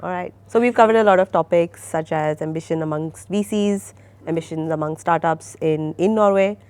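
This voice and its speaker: A woman's voice: fairly low pitch, normal speed, darkish tone, clean tone. A woman moderating a panel of founders, asking long, winding questions that trail off.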